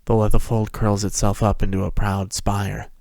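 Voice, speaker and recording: Low-voiced man reading a dramatic story. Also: little pitch movement, moderate pace, vocal fry, a little mellow, no room sound. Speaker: narrator, fan fiction reader. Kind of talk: dramatic scripted story narration.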